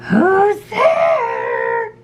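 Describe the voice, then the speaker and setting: A woman voicing a wily storybook wolf who mimics a female voice in cloying, drawn-out falsetto. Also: very animated, slight background noise, full character voice. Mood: feigned frailty. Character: wily male wolf mimicking little girl, grandmother.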